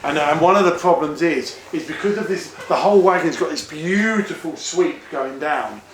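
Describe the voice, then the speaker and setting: A man giving a casual, informal explanation as a guide, halting and repeating words as he goes. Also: fairly high pitch, expressive. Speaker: tour guide, fairground owner. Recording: echoey, noisy yard ambience.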